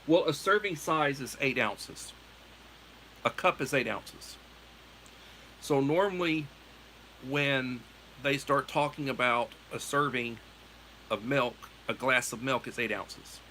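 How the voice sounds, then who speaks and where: A man's voice: mid-range voice, sharp tone, slight background noise, poor audio. A middle-aged American man hosting a livestream, chatting in a meandering, self-correcting way. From United States. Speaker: livestream host. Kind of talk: casual rambling chat.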